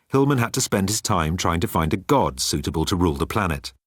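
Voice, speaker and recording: A man's voice: sits low, slightly rough, no room sound, studio quality. A man reading scripted comic science fiction as an audiobook narrator.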